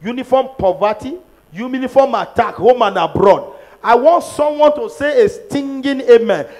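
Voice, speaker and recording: A middle-aged man with a Nigerian accent leading prophetic prayers, shouting declarations into a handheld microphone in a large church. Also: extremely high pitch, expressive, moderate pace. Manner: fervent, shouting declarations, repeating lines for the crowd. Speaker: pastor. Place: large church auditorium, on a stage at a pulpit.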